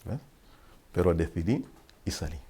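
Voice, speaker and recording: Priest from Rwanda, forties, very low voice, reflective testimony told with pauses. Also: male voice, sing-song, mellow, slightly rough. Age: 40s. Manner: reflective storytelling, pauses to search for words. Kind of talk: interview guest recounting his life.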